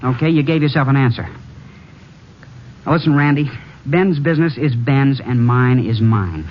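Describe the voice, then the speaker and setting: A man's voice: mid-range voice, moderate pace, natural voice. A man acting a cocky, resentful young jockey in old-time radio drama, clipped, bitter and confrontational. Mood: defiant. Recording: muffled, faintly noisy vintage radio recording.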